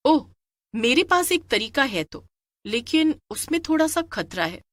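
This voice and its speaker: Woman playing a bold, animated village woman. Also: sits high, measured pace, no room sound, pitched above the performer's own voice, full character voice. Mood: scheming, confident. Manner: assertive, emphatic. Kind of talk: animated moral story character acting.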